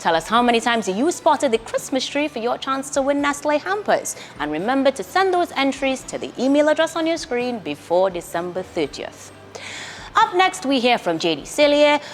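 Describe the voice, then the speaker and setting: A woman's voice: sits high, sing-song, normal speed. A woman reading a scripted promotional announcement as an announcer.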